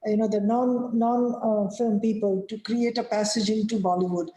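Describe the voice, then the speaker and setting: A woman's voice: mid-range voice, steady tone, normal speed, dark tone, roomy sound, a little hiss or hum, rough recording. A woman interviewing a guest, asking questions hesitantly with many fillers. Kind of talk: conversational interview.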